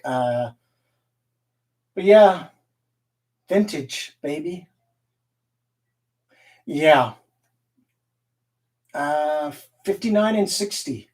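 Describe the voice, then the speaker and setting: A man's voice: a little high, darkish tone. Chatty guy doing a live stream, rambles and laughs, reads the chat, sounds a bit far from the mic. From Canada. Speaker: livestream host and guitar collector.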